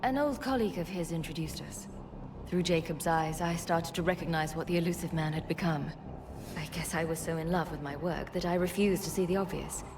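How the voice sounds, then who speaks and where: A composed refugee scientist. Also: female voice, sits low, noisy background. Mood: earnest. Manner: measured, earnest. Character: composed female former research scientist. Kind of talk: video game voice acting.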